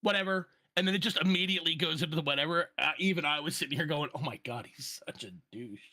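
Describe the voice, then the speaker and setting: A man's voice: a little high, fast talker, sharp tone. A man, a voice actor, casually discussing his work in a reflective, self-deprecating way. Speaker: voice actor on an audio drama.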